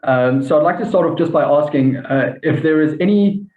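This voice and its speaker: A man's voice: fairly high pitch, moderate pace, a little mellow. A man moderating an online panel, speaking politely and hesitantly with many fillers. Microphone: video call. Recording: tinny, echoey call audio.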